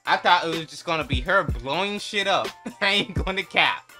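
A man's voice: high voice, animated, moderate pace, pushed and bright, soft background music. A man gives casual, hyped, slangy and profane reaction commentary. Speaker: reaction video host.

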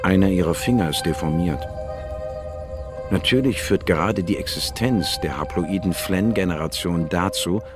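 An actor playing a weary male biologist narrating a science fiction radio play in a measured, matter-of-fact way. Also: deep voice. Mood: grave, explanatory. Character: male biologist Ian, weary first-person narrator of alien expedition.

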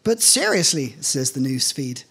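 A man's voice: mid-range voice, very animated, measured pace, sharp tone, breathy edge. A middle-aged man reading a scripted first-person story aloud on stage into a stand microphone. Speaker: reader of a short story at a live event. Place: indoor stage at a live reading event. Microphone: microphone on a stand, close in front.

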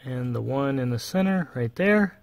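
A man's voice: mid-range voice, expressive, slight background noise. A man giving a casual step-by-step car repair demonstration, talking plainly while he works under a dashboard. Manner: plain, unhurried, talks while working with tools. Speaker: car repair how-to presenter.

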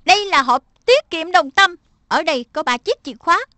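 Magical lion pet, acted by a voice performer. High, instructive, knowing mentor in a children's cartoon, dry sound. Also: lively intonation, brisk, bright, forceful tone, no echo, heavily acted. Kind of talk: Vietnamese-dubbed children's cartoon.

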